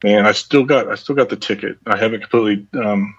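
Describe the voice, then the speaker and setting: Older man, low voice, dull audio. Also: fast talker, husky, no echo. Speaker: voice actor and writer guest. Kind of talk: casual chat.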